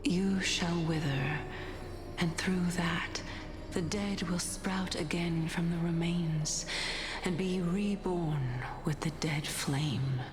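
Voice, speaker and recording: Cool, low-voiced scholar. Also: female voice, steady tone, slow pace. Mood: grave, hushed. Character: composed, scholarly skeptic, learned in alchemy. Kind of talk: scripted game dialogue acting.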